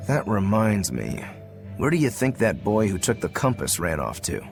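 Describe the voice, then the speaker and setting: An actor playing an odd, earnest warrior in a fantasy game, with a plain-spoken, literal manner. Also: male voice, lowish voice, big pitch swings, normal speed, gravelly, over music. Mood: matter-of-fact. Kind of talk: dubbed fantasy game acting.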